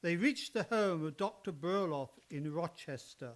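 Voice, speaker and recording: A solemn storyteller, played by a man narrating a suspense tale. The delivery is measured and slow, in the scripted manner of old-time radio drama. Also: on the high side, expressive, slight background noise.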